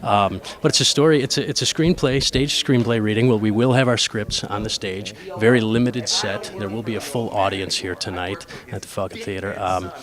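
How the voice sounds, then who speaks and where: Male actor in interview. Lower register, halting explanatory delivery, busy chatter in background. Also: steady tone. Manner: hesitant, halting.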